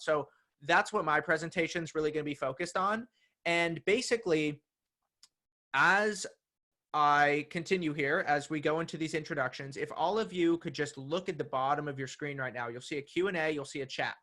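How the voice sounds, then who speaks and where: A man hosting an online writing workshop, upbeat and energetic as he introduces the session. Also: fairly high pitch. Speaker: workshop host. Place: San Francisco.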